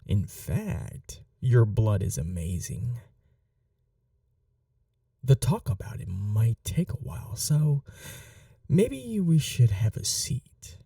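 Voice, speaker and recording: Performer as obsessive vampire technician, very dark mellow tone, swooping intonation, polite menace, coaxing half-whispers, ASMR roleplay. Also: medium pitch, big pitch swings, slow pace, gravelly, long pauses, dry recording, studio quality, recorded from a distance, full character voice. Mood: reassuring, coy.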